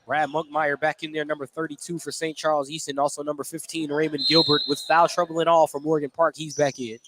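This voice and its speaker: A man's voice: on the high side, level tone, quick pace, fairly bright tone. A man calling live basketball play-by-play for radio, in clipped phrases with detailed descriptions of the action. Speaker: play-by-play announcer. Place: indoor basketball gym during a game. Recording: noisy gym ambience, bouncing ball. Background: gym noise and basketball bounces.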